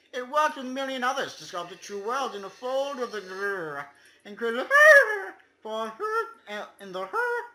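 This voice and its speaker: A man giving a mock-dramatic comedic reading, swinging from hushed whispers to shouts and breaking in with silly asides. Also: extremely high pitch, rough recording. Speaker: YouTuber.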